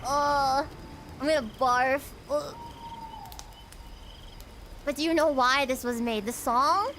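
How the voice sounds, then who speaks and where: Woman streamer, high voice, bright tone, casual dramatic chatter, faint background noise. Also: slow. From United States. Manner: chatty, dramatic, reacting aloud. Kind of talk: casual livestream chat.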